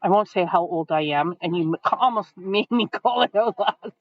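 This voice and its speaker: A woman's voice: medium pitch, moderate pace, dry recording, muffled recording. A Canadian woman co-hosting a podcast, chatting casually and teasing herself, recorded at an outdoor market. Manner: chatty, self-teasing, trails off with fillers. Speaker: podcast co-host. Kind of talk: casual chat.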